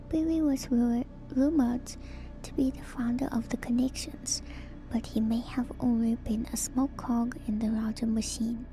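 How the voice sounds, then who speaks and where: A woman doing ASMR in a breathy whisper, reading lore aloud with hushed, enthusiastic casual commentary. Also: fairly high pitch, rough recording. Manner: hushed, slow, enthusiastic asides. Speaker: streamer and lore reader. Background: music.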